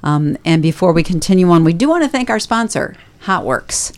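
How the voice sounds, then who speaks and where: A woman's voice: fairly low pitch, lively intonation, fairly quick. A woman co-hosting a studio talk show, speaking hesitantly with many fillers. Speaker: co-host. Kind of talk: studio podcast conversation. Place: radio studio.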